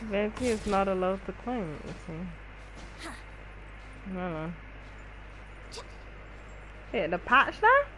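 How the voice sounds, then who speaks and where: A woman's voice: medium pitch, sing-song, slow, pauses often, sharp tone, slight background noise, low-quality recording. A woman chatting casually and in slang with co-op partners while playing a game, often repeating phrases. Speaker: gaming video creator. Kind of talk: casual gameplay chat with co-op partners.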